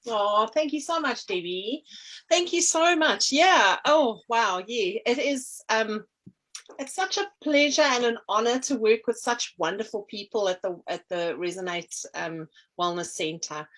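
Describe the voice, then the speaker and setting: A woman's voice: a little high, animated, measured pace, fairly bright tone. A middle-aged South African woman talking casually in an interview, meandering with many ums and restarts. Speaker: energy healer and artist, guest.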